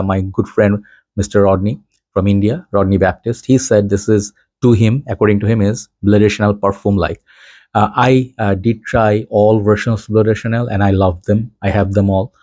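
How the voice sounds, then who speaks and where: Man, from Bangladesh, rambling casual chat, mellow tone, dry close sound. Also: lowish voice, no echo. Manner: rambling, chatty, laughs between points; frequent fillers. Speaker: fragrance reviewer. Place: home in Dhaka.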